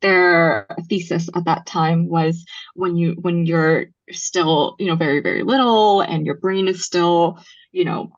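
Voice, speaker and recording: Young socal woman on a podcast, lowish voice, lots of ums and likes, muffled dull sound. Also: steady tone, unhurried. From Los Angeles, USA. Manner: storytelling, frequent ums and likes, laughs easily. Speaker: podcast guest, brand founder. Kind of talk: casual interview chat.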